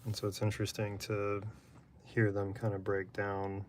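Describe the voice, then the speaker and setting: A man chatting in a flat, monotone, rambling way while drawing live in his apartment. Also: lowish voice, moderate pace, a little hiss or hum. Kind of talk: casual chat while drawing. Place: apartment room.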